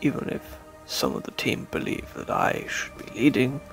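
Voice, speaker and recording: A man's voice: moderate pace, fairly bright tone, soft background music, close to an everyday voice. A man playing an ambitious, courtly expedition scientist in an audio drama. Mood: courtly, teasing. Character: ambitious male expedition scientist, courtly toward his leader.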